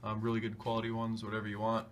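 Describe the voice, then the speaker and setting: A man's voice: fairly low pitch, completely flat delivery, racing. A man hosting a DIY tutorial, giving a casual, plain-spoken hands-on walkthrough in an easygoing way. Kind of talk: casual hands-on how-to narration. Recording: clearly noisy home video sound.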